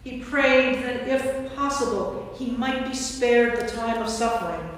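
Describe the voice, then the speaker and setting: A woman's voice: a little high, unhurried. A woman narrator reading scripture from a script in a staged church reading. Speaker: narrator, priest. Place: church, recorded from a distance. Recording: very echoey church, distant mic, faint noise.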